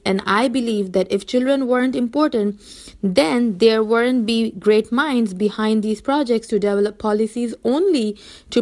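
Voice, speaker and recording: A young woman from Bangladesh, a teacher, giving a prepared seminar presentation in an earnest, steady way with the odd restart. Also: fairly high pitch.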